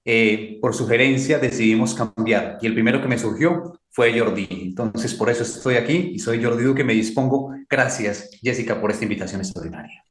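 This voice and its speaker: A man from Colombia with a Colombian accent, talking as a mentor in a measured, reflective way. He leans on key phrases and says them twice. His voice has a roomy echo around it. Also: medium pitch. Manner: slow, emphatic, repeats key phrases. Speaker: guest speaker, mentor. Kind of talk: reflective talk.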